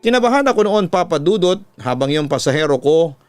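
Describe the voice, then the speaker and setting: A Filipino man working as a radio-style narrator, reading a first-person horror story in a measured, deliberate way over music. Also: fairly high pitch, lively intonation, dry recording. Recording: music playing under the voice. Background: background music.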